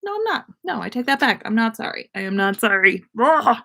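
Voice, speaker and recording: A woman's voice: fairly high pitch, very animated, fairly quick, vocal fry, a bit bright, slight background noise. A woman hosting a casual livestream, chatting to viewers in an effusive, rambling, playfully self-aware way. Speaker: livestream host.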